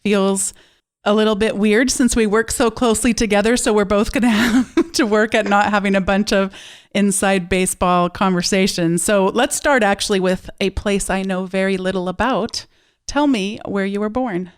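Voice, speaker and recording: A woman's voice: medium pitch, fairly even intonation, moderate pace, clean tone. A woman hosting a podcast interview, speaking in a friendly, conversational way. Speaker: podcast host.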